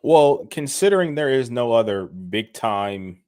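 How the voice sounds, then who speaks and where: A man with a mid-pitched voice walking through sports news on a podcast. The sound is dry and close, and he argues his points in a steady, measured way. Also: dry recording. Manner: measured, explaining, some restarts. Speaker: podcast co-host. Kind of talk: casual sports chat.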